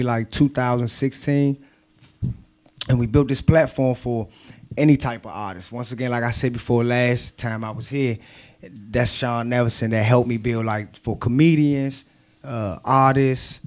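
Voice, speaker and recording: A man's voice: mid-range voice, steady tone, normal speed, a little mellow. A man speaking as a guest promoter on a casual show, laid-back and repeating himself. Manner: laid-back, repeats "you know what I'm saying". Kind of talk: casual talk-show interview.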